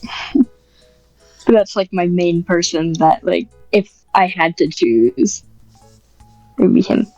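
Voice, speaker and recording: A woman's voice: fairly low pitch, slow, long pauses, mellow, dry recording, highs cut off, soft background music. A woman podcast guest chatting casually in a modest, informal and hesitant way. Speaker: podcast guest, script writer. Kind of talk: casual interview chat.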